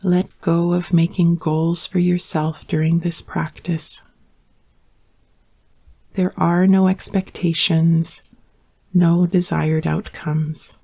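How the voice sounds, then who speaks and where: Female meditation guide, mellow and dark in tone, steady and even in pitch, soothing, unhurried delivery. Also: vocal fry. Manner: calm, soothing, unhurried instruction with long pauses. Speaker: yoga nidra meditation guide.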